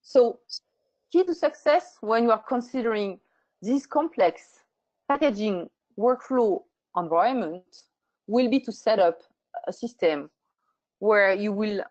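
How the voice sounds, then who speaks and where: A woman hosting a webinar, reading a measured presentation. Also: medium pitch, darkish tone. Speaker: webinar host. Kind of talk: scripted presentation. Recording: muffled lo-fi webinar audio.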